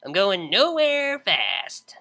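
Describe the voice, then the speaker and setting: A woman voicing a drunk, laid-back young female unicorn DJ who drawls slow, slurred slang. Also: medium pitch, wildly swooping pitch, vocal fry, bright, forceful tone, pitched above the performer's own voice, exaggerated voice.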